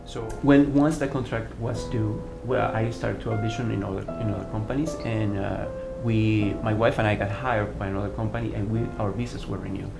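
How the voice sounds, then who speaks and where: A lowish-voiced man from Venezuela, speaking English with a Venezuelan accent. He tells his story earnestly and haltingly, restarting his phrases often. The voice sits in a roomy space with music playing clearly underneath. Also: steady tone. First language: Spanish. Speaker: artistic director of a dance company. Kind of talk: personal testimony interview.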